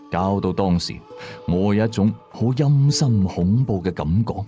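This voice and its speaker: Low, expressive male storyteller. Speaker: audio drama narrator. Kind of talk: scripted first-person horror story narration. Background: background music.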